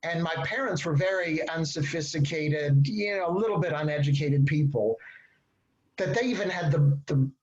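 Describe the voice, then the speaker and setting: A man's voice: a little high, normal speed. A man with a Texas accent, an author talking as a panel guest in a chatty, digressive way with laughing asides. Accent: Texan. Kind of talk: casual panel conversation. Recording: dull lo-fi sound.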